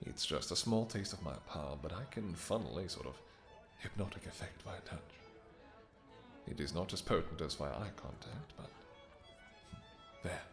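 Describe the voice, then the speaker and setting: A man's voice: deep voice, animated, moderate pace, fairly bright tone, faint music behind. A man voicing a doting, possessive, brooding vampire king who speaks in formal, archaic, theatrical phrases in a romantic fantasy audio roleplay. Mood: tender, soothing.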